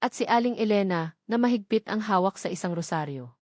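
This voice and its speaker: A woman with a Filipino accent narrates a scripted dramatic story in a measured, solemn way with deliberate pauses. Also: mid-range voice, lively intonation, darkish tone, no echo, studio quality. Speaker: audiobook narrator.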